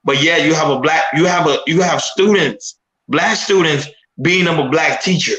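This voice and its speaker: A man's voice: fairly high pitch, normal speed, bright, forceful tone, reverberant, rough recording. A man of Haitian descent on a casual podcast panel, speaking emphatically and often repeating himself as he builds a point.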